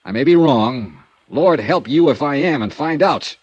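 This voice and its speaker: A man's voice: fairly high pitch, expressive, moderate pace, a little mellow, husky, no echo, dull audio. A man playing a hard-boiled male insurance investigator in a 1950s radio drama, with clipped, dry tough-guy delivery, narrating and questioning. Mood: blunt. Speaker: lead actor and narrator.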